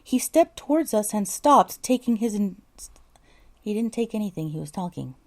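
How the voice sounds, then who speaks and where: A woman's voice: mid-range voice, big pitch swings, moderate pace, a little mellow. A woman narrating a scripted story, breaking off to correct herself in exasperated asides. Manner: self-correcting, muttering exasperated asides between takes. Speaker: narrator recording an audiobook.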